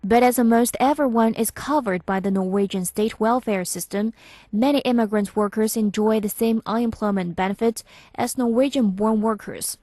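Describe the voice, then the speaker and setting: Dry, measured female news reporter. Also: fairly high pitch, warm tone, no echo. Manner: measured, formal reading. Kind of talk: scripted news narration.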